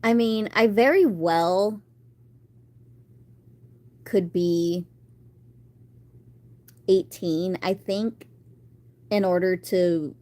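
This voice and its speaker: A woman's voice: fairly low pitch, extremely slow, long pauses, mellow. A woman in her thirties chatting casually on a live stream, rambling about her day and answering viewers. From United States. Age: 30s.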